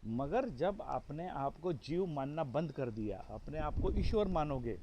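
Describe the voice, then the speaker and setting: A man giving a spiritual discourse with a hoarse throat, asking questions and then answering them himself. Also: a little high, expressive, measured pace, dark tone. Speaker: spiritual teacher.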